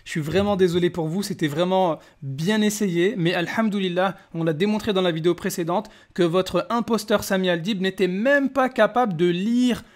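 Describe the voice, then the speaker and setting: A man's voice: high-pitched, moderate pace, hardly any pauses. A man hosting a polemical commentary, talking in a mocking, combative and emphatic way. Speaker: YouTube host.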